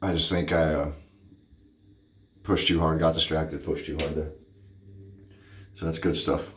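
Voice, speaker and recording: A man's voice: a bass voice, flat delivery, unhurried, slight background noise. A man in his thirties chatting casually to camera in a small home bathroom, goofy and hyper, often correcting himself. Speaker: shaving vlogger. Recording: echoey bathroom.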